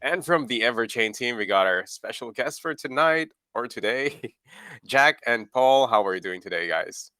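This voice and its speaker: A man's voice: medium pitch, expressive, normal speed, bright, forceful tone, clear, resonant tone, no echo. A man hosting an online AMA, chatty and laughing between questions, a bit under the weather. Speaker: AMA host. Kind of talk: casual interview hosting.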